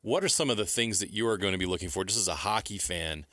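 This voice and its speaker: A man with a deep voice hosts sports talk at a quick clip in a dry studio sound. His interviewing is casual and punchy. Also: fairly bright tone, dry recording. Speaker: sports radio host.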